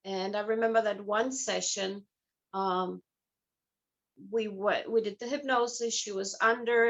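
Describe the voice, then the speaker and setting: A woman's voice: mid-range voice, a bit bright, rough recording. A woman speaking as an interview guest in a chatty, conversational way, explaining things through personal anecdotes. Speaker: guest, hypnotherapist and life coach.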